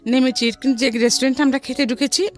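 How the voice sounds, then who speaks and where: A woman's voice: high voice, steady tone, quick pace, darkish tone. A middle-aged woman with a Bangladeshi accent telling her life story in a conversational way, mixing in some English words. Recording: music behind the voice.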